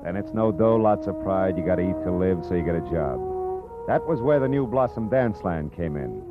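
A hard-boiled private eye with a very deep voice that swings widely as he talks, clipped and wry. The sound is a tinny old radio broadcast with music underneath. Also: expressive, normal speed. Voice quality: dry, clipped and wisecracking. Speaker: detective narrator. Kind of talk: 1940s radio acting.

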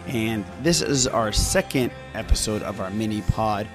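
A man's voice: fairly low pitch, moderate pace, over music. A man hosting a podcast, talking casually as he introduces and wraps up segments. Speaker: podcast host.

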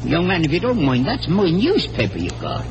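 Crotchety older doctor, wildly swooping voice. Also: male voice, on the high side, huge pitch swings, normal speed, highs cut off. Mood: indignant, huffy. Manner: pompous, fussy, exclamatory. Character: crotchety older small-town doctor, huffy and indignant. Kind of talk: 1940s radio acting. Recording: old radio recording with music.

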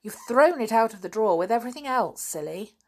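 A woman voicing a brisk, exasperated mother with a firm, chiding manner in a children's storybook. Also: on the high side, lively intonation, pitched above the performer's own voice. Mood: cross. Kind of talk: children's storybook read aloud.

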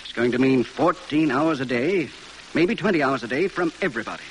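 A firm, weary factory boss, played by a man, speaks earnestly in a medium-pitched voice. The sound is a vintage radio drama with some noise. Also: expressive, brisk, lightly acted, poor audio. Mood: grave, firm. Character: firm, weary male tank arsenal manager. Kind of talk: 1940s radio acting.

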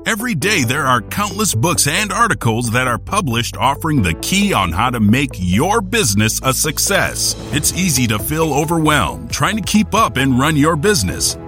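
A man delivers a salesy promo intro in a fairly high, lively voice. Upbeat music plays clearly beneath him throughout. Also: moderate pace, few pauses. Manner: punchy, salesy. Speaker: show announcer.

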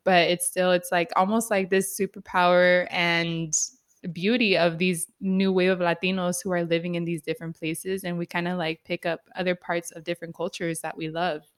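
Chatty podcast host, woman, a touch low-pitched, laughs easily. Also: little pitch movement, moderate pace. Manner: chatty, laughs often, many "like"s. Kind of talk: casual interview conversation.

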